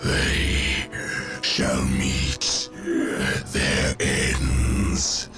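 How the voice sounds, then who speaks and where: An actor playing an ancient, menacing machine overlord in a video game, cold and commanding, speaking in ominous, solemn, terse commands. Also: male voice, extremely low pitch, extremely slow, sharp tone, breathy edge, soft background music, exaggerated voice. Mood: grim threat. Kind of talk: video game cutscene acting.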